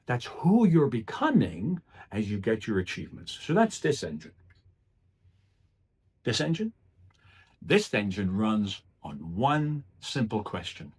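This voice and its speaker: Male public speaker for a motivational speech, husky tone, very animated pitch, emphatic and deliberate phrasing.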